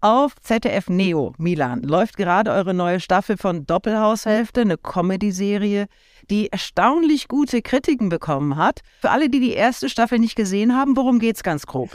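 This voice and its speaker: A woman hosting a Sunday breakfast radio interview, lively and chatty, teasing her guest. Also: medium pitch, normal speed, no room sound, studio quality. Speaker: radio show host.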